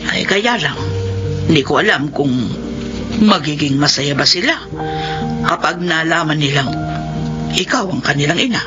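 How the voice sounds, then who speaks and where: An actress playing an emotional older sister in a Filipino radio drama, with a dramatic, emphatic way of talking. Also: female voice, deep voice, expressive, normal speed, pauses often. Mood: doubtful. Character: emotional older sister who raised the abandoned children. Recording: muffled radio drama audio with music behind.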